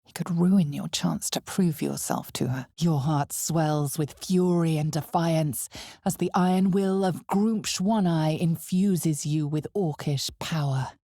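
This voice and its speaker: A woman playing the ominous, knowing narrator of a dark fantasy game, measured and deliberate, with a husky, breathy voice. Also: sits low, dry recording, studio quality.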